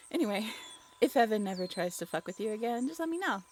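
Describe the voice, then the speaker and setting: A playful, affectionate young writer, acted by a woman in an intimate audio roleplay. Her voice sits fairly high and swings freely up and down as she chats and teases, tough in her words yet a little shy. Faint outdoor ambience of insects and birds runs behind her. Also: expressive, a little fast, a little hiss or hum, lightly acted. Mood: teasing, amused. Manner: chatty, teasing, giggly.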